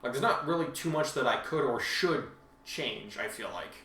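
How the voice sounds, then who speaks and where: A man hosting a casual chat about his own writing, halting and rambling as he repeats himself. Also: mid-range voice, expressive, a bit bright, recorded from a distance, slight background noise. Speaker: podcast host and fan fiction author. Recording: roomy, echoing home recording.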